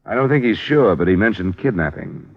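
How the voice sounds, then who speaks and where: A man's voice: lowish voice, expressive, fairly quick. An actor playing a terse male insurance investigator who narrates his own case in a 1950s radio drama. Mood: probing. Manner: terse, matter-of-fact, probing. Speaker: lead detective and narrator. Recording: lo-fi old radio broadcast with music.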